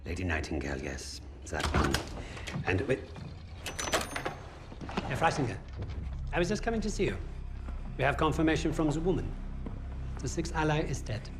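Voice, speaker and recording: An actor playing a cold, calculating German officer who gives curt orders and interrogates prisoners. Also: male voice, mid-range voice, big pitch swings, moderate pace, pauses often, lightly acted. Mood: commanding. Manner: curt, commanding, clipped orders. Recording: game cutscene with music behind.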